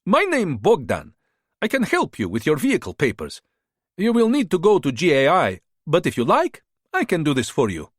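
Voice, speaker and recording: A man voicing a smooth-talking male border fixer of about thirty, speaking broken English with a foreign accent, pushy and flattering. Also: a little high, normal speed, dry recording, studio quality, pitched above the performer's own voice, heavily acted. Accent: unplaceable foreign accent.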